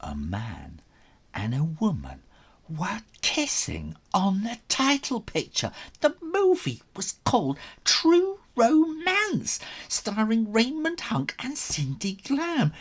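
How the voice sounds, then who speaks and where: A man's voice: high voice, wildly swooping pitch, slow, a bit bright, breathy edge. A man reading a children's story aloud as its author, theatrical and suspenseful, drawing out his words.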